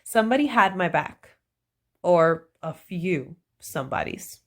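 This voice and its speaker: A woman reads a children's picture book aloud in an unhurried, storytelling way. Her voice swoops up and down with playful emphasis on the punchlines. The pace stays gentle and deliberate throughout. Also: lowish voice, animated.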